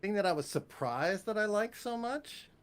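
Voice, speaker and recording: A man's voice: high voice, animated, normal speed, smooth. A man from the United States chatting casually as an interview guest, opinionated and emphatic, often repeating phrases for weight. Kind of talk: casual chat.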